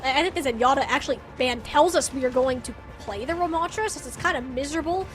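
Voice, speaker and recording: A woman casts esports live in a very high-pitched voice. Her analysis is casual and opinionated, phrased like everyday gamer talk. Faint music sits behind her. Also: quick pace. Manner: opinionated, colloquial. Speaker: esports commentator.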